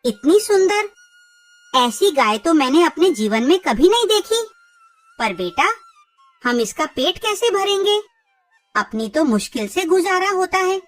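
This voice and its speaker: A woman playing a poor, loving, worried mother in a cartoon, tender, earnest and expressive. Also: very high-pitched, measured pace, pauses often, recorded from a distance, soft background music, full character voice. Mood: amazed, concerned. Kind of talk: cartoon moral-tale dialogue.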